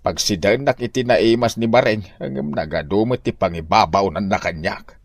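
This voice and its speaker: A man's voice: fairly low pitch, normal speed, gravelly, no room sound. A man playing a stubborn, aggrieved old husband in an Ilocano comedy radio drama, grumbling and whiny with an Ilocano accent. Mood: complaining.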